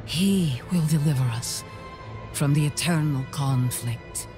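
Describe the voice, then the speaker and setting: A stern high priestess speaks in a low, slow voice full of solemn zeal. She sounds commanding and reverent, as in a fantasy game cutscene, over faint music. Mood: solemn, devout. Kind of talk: dramatic video game cutscene acting.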